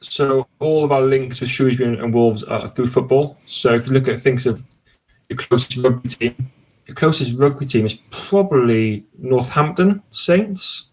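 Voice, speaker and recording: A man talks as a podcast guest in an easy, enthusiastic ramble. His voice sits at an ordinary middle pitch, and he often stops and restarts his sentences. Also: moderate pace, a little mellow, low-quality recording. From Cardiff, Wales. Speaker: podcast guest and sports podcast host. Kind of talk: casual podcast conversation.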